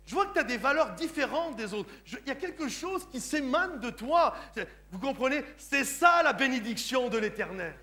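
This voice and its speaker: A man's voice: extremely high pitch, very animated, moderate pace, few pauses, sharp tone, soft background music. A French man of Sicilian descent, a pastor preaching a sermon in church with emphatic delivery, often asking his congregation if they understand. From France, of Sicilian parents. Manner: emphatic, repeatedly asks the congregation "Comprenez-vous?".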